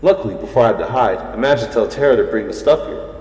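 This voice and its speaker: A man's voice: mid-range voice, lively intonation, fast talker, mellow, close to an everyday voice. A man playing a thrill-seeking secret superhero who narrates in first person in an audio drama. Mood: worried, hushed. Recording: echoey reverb under background music.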